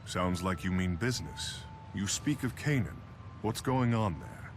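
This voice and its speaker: A man voicing a stern, dignified leader in an anime game, speaking formally and gravely. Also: deep voice, expressive, unhurried, noisy background. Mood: grave, decisive.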